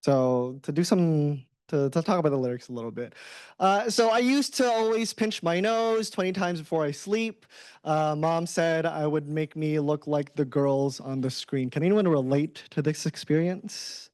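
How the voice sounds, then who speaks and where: A man raised in the Philippines who speaks with an American accent, giving a casual presentation to students, halting and full of fillers, often checking in with "right?". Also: on the high side, darkish tone, dry recording.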